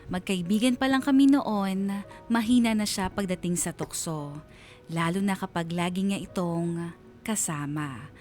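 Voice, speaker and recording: A man's voice: sits high, a little slow, darkish tone. A performer narrating as an anxious, wounded husband telling his story in a letter on Filipino radio. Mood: worried, heavy-hearted. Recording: music bed behind voice.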